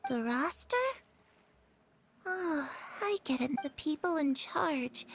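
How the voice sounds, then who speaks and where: A woman voicing a friendly, naive little ghost girl in a video game, with a childlike, halting way of talking. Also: high voice, background noise. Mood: uncertain.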